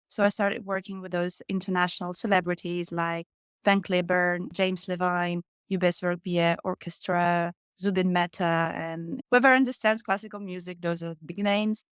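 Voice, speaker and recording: A woman's voice: medium pitch, smooth, dry recording, clean studio sound. A middle-aged woman from Russia with a Russian accent, answering conversationally as an expert podcast guest. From Moscow, Russia. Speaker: podcast guest, hospitality brand consultant.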